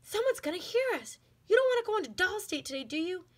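A cautious little girl doll from a storybook, acted out by a woman. The voice is high and animated, scolding in an urgent hush with a bossy edge. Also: fast. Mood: urgent warning.

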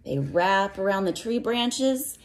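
A woman reading a picture book to young children and explaining it in simple words, with a sing-song, measured cadence. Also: medium pitch, expressive. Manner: simple, instructive phrasing aimed at young children. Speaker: read-aloud teacher for young children.

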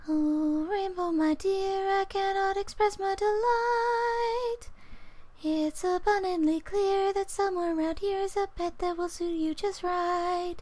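A man voicing a timid, sweet female cartoon pony in a soft falsetto. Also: steady tone, slow, no echo, faint music behind. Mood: gently delighted.

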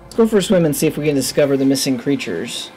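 A man in his thirties giving casual game commentary into a headset, thinking aloud in short, low-key remarks. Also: expressive, fast talker, darkish tone, a little hiss or hum. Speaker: gamer, let's play host. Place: indoor room at a gaming setup.

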